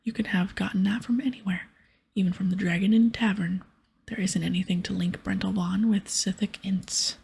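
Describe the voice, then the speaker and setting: A woman voicing a skeptical, matter-of-fact wizard guild official from a fantasy game in a breathy, whispery voice. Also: medium pitch, fairly even intonation, moderate pace, darkish tone, a little hiss or hum, close to an everyday voice. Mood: doubtful.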